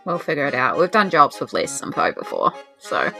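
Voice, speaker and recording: A woman acts out a down-to-earth adventurer in a tabletop roleplaying game. Her New Zealand voice is a little low and swings animatedly, and she talks frankly and casually. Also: huge pitch swings, fairly quick, gravelly, pushed and bright, faint music behind, heavily acted. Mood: matter-of-fact. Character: blunt, practical, down-to-earth adventurer.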